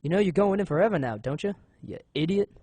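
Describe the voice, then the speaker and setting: A man playing a hot-headed young police detective in a crime audio drama, brash and husky. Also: sits high, animated, fast talker, warm tone, dry recording. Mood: scornful. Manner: brash, slangy, combative.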